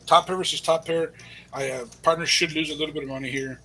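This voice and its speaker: A man hosting and commentating a live poker stream, relaxed and friendly with viewers. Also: a little high, fast, a crowd in the background, poor audio.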